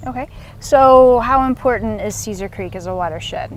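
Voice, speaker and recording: A woman with a fairly high voice hosts a nature program in a friendly way. She prompts her guest with simple questions and quick reactions, and she is speaking outdoors by a lake. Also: a little hiss or hum, low-quality recording. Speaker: naturalist, show host. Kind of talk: hosting an outdoor interview.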